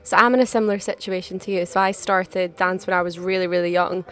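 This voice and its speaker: A woman's voice: lowish voice, steady tone, quick pace, fairly bright tone. A woman co-hosting a podcast who asks questions and relates her own experience to the guest's. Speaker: podcast co-host. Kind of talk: interview questions.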